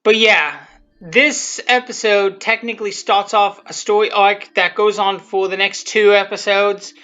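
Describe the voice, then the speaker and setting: A person giving rambling, unscripted commentary on their own video, halting and repeating themselves, with blunt, self-deprecating swearing. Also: medium pitch, fairly even intonation, bright, forceful tone. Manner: halting, repetitive, often restarting sentences. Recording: muffled lo-fi audio, music behind.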